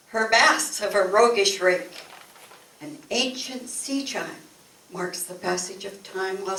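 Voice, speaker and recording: An older woman reciting poetry expressively at a community event, with measured, dramatic phrasing. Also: a little high, a bit bright. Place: event room, recorded from a distance. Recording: echoey, noisy room, distant microphone.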